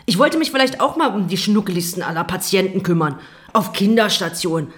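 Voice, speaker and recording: A woman's voice: medium pitch, lively intonation, fairly quick, a bit bright, natural voice. An actress playing a blunt, weary young working woman in a radio drama, colloquial and no-nonsense. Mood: fed up. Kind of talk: German radio drama acting.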